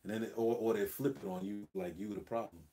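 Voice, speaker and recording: A man's voice: fairly low pitch, steady tone, quick pace, dark tone. A man appearing as a guest on a livestream, mostly adding short words of agreement. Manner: brief agreeing interjections. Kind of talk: casual livestream discussion.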